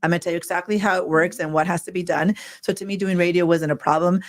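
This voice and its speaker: Co-host, woman, middle-aged, raspy and loud, rapid delivery, laughs easily. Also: fairly low pitch, steady tone. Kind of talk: casual live stream chat.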